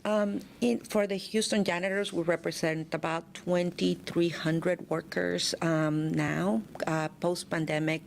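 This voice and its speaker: A woman guest on a radio show, speaking in a measured, earnest way with frequent fillers. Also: on the low side, fairly even intonation, gravelly, slight background noise. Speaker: union president, guest. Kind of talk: radio interview.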